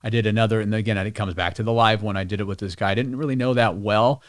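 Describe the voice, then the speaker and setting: A man's voice: lowish voice, fairly even intonation, fast talker, dry recording, studio quality. A man chatting casually as a podcast guest in a rambling, informal, slangy way.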